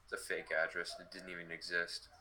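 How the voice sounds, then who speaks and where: A man's voice: sits low, monotone, a little fast, sharp tone. A man in casual political chat, speaking plainly and matter-of-factly.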